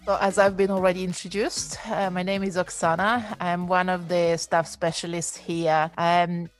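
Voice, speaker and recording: A woman's voice: lowish voice, no echo. Woman, Ukrainian accent, 40s, reflective, earnest storytelling, dry close sound. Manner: earnest, reflective, non-native phrasing. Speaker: emergency physician. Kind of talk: personal story on a podcast.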